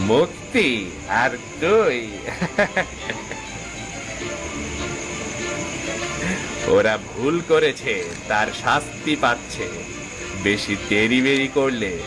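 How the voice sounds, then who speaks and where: A man's voice: medium pitch, low-quality recording. A man voicing a menacing, gloating villain sorcerer in a cartoon, with taunting, drawling threats. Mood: gloating, threatening. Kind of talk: cartoon voice acting.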